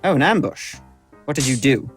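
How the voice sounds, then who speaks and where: An actor playing a seasoned sword instructor in an audio drama, dry, blunt and patient, with a measured teaching manner. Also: male voice, medium pitch, animated, faint music behind, natural voice. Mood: firm, instructive.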